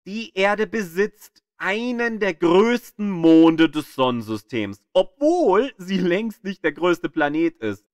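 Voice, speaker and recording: Animated, slow-paced male audio guide narrator. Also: fairly high pitch, no room sound, clean studio sound. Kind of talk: scripted educational narration in a playful tour-guide tone.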